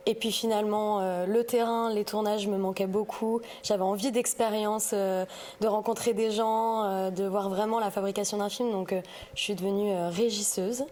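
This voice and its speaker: A woman speaking casually as a podcast guest, pausing often as she tells about her work. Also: medium pitch, fairly even intonation, darkish tone. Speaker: podcast guest, audiovisual sustainability consultant. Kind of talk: casual interview storytelling.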